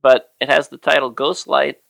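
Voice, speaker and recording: Bright, creaky-voiced male podcast host. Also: medium pitch, little pitch movement, poor audio. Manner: easygoing, chuckling, reads poem slowly and deliberately. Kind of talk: casual podcast chat and poetry reading.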